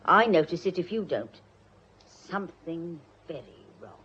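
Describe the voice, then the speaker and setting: Low, husky British old maidservant. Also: female voice, expressive, measured pace, a bit bright, muffled recording, exaggerated voice. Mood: worried, grumbling. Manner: grumbling, foreboding, plain-spoken. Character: devoted, grumbling, plain-spoken old lady's maid. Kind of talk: radio drama acting.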